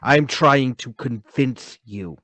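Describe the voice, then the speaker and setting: An actor playing a timid, troubled, lonely man confessing to murder in a radio-play table read, halting, pleading and intense. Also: medium pitch, a bit bright, no room sound. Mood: menacing, insistent.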